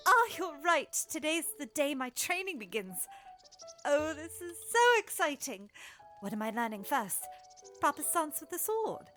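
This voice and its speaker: Young princess, acted by a woman, high voice, proper and expressive, playful, eager, audio drama, soft music behind. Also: moderate pace. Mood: excited. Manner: formal, expressive diction.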